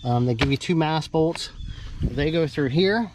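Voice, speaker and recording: A man giving a casual, off-the-cuff unboxing commentary, halting and thinking aloud as he handles parts with a camera in hand. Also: a little high. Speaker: hobbyist vlogger doing a product unboxing. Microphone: handheld camera held while working, varying distance. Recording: noisy handheld camera audio, clear background noise.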